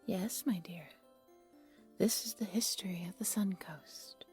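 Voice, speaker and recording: Woman as an elderly grandmother, extremely breathy whispery voice, hushed deliberate storytelling, audio roleplay, soft music behind. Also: medium pitch. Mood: doting, teasing. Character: gentle old grandmother storyteller, turning eerie and insistent. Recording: music under the voice.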